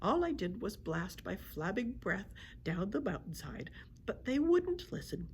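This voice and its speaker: A woman's voice: medium pitch, very animated, measured pace, a little hiss or hum. A woman voicing a small, polite but indignant male dragon with a head cold in a children's storybook. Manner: courteous, fussy, complaining.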